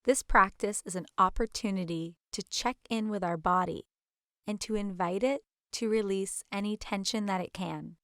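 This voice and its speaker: A woman leading a guided meditation as instructor, with a gentle, soothing, unhurried manner. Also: medium pitch, no echo, clean studio sound.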